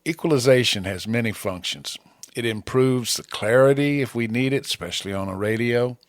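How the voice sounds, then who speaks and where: A man presenting a scripted amateur radio tutorial in plain, measured short statements, close on a broadcast microphone. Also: mid-range voice, no echo. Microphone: close broadcast podcast microphone.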